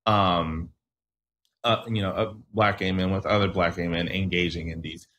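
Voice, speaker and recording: Guest author, young man, deep voice, laid-back, restarts often, from Michigan. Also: moderate pace, a little hiss or hum. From southeastern Michigan. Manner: relaxed, restarts often, laughs at himself. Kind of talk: casual interview talk.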